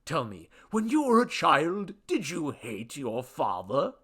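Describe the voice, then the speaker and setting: A man voicing a pompous, irritable ancient Babylonian god in a story, with grandiose, formal declamation and a rusty, booming voice. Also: wildly swooping pitch, takes it slowly, fairly bright tone, husky, heavily acted. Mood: clinical, probing. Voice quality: rusty booming foghorn bass.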